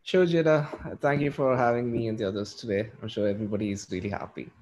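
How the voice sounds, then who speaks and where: A man from India, an alumni panelist on a video call, explaining his reasons in an organized way with frequent pauses. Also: on the low side, fairly even intonation, a little mellow, highs cut off, a little hiss or hum.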